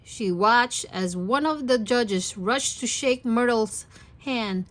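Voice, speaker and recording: Woman, children's read-aloud narration, slow pace, slightly high voice, expressive. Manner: expressive, addressing young children. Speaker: storyteller.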